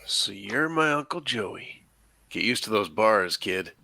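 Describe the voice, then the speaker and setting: A man's voice: fairly low pitch, lively intonation, takes it slowly, gravelly, pushed and bright, voice pushed down, exaggerated voice. A man playing a bewildered, nervous teenage boy in a table read, hesitant and stammering. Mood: awkward, uneasy. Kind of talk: amateur table read of a film script.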